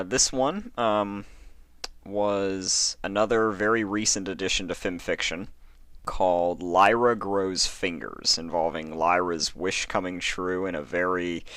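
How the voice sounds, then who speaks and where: A man's voice: sits low, fairly bright tone, no room sound. A man introducing and narrating fan fiction as a podcast reader in an informal storytelling style.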